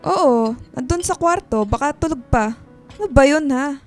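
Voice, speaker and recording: A woman's voice: high-pitched, expressive, normal speed, a little mellow, soft background music. A woman playing an irritable, dismissive middle-aged mother in a Filipino radio drama, brusque and defensive.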